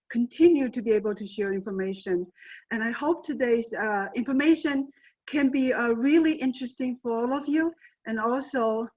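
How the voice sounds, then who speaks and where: Woman presenter, dull video-call sound. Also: on the high side. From southern China. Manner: earnest, repeats and restarts often. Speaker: guest presenter. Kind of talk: presenting on a webinar.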